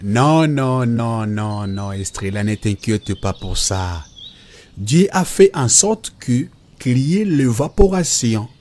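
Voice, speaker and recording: A man's voice: medium pitch, lively intonation, slow, darkish tone. A man playing a patient cartoon father who explains God and nature to children in a reassuring, teacherly way. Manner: didactic, reassuring.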